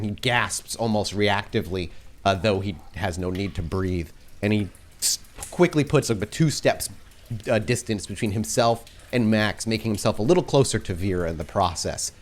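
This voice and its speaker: Deep-voiced male game master narrating. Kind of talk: descriptive narration of a tabletop game.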